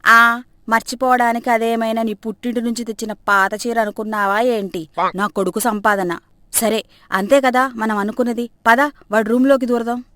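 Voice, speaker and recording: A woman voicing a scheming, sharp-tongued middle-aged mother-in-law in a comic moral story, with a scolding, dramatic manner. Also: on the high side, steady tone, moderate pace, no room sound, close to an everyday voice. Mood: sarcastic. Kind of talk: animated moral story character acting.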